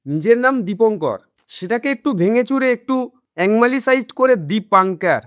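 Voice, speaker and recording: An actor playing a considerate younger family friend in a radio drama, speaking politely and reassuringly. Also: male voice, high voice, expressive, normal speed, no echo. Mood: reassuring, explaining. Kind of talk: Bengali radio drama acting. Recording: dull lo-fi audio with background music.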